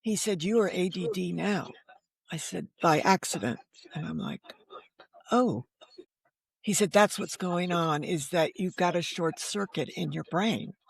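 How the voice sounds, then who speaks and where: A woman's voice: fairly low pitch, expressive, measured pace, gravelly, breathy edge, no echo. An older woman telling her own story candidly as an interview guest, frank, wry and self-deprecating, often quoting what people said. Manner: quotes dialogue while telling stories. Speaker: podcast guest, novelist.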